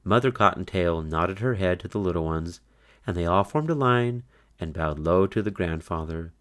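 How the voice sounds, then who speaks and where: A man narrating a children's storybook aloud, with expressive, deliberate, scripted storytelling. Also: low voice.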